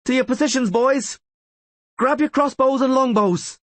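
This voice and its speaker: A man reading a fierce, defiant woman mercenary who shouts savagely, in a much higher, louder voice. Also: moderate pace, dry recording. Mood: commanding.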